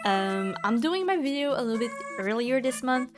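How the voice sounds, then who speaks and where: A woman's voice: fairly high pitch, animated, normal speed, over music. A young woman from Quebec with a Quebec French accent, speaking English as a second language in a chatty voice-over, full of ums and likes. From Quebec, Canada. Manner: chatty, many ums and likes, laughs often. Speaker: bullet journal YouTuber. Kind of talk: casual voice-over commentary.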